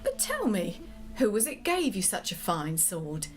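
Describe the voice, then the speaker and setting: A woman's voice: medium pitch, huge pitch swings, unhurried, soft background music. A woman playing a knowing, courteous young damsel in an audio drama, with formal archaic phrasing. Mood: curious, knowing.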